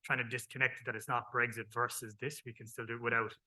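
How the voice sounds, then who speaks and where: A man's voice: medium pitch, level tone, fast, pushed and bright. A man with an Irish accent, speaking directly as a panelist at a finance conference. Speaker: panelist, tax team head.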